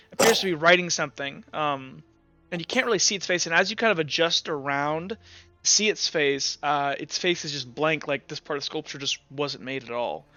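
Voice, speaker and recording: Bright, clear-voiced man running a tabletop game. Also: fairly high pitch, no echo, dull audio. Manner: loose, rambling descriptions full of "like". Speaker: game master.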